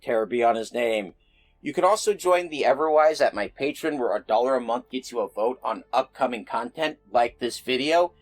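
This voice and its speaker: A man's voice: medium pitch, expressive, moderate pace. A man hosting an informal explainer video, speaking in a conversational, self-deprecating way. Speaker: YouTube host of mythology and folklore videos.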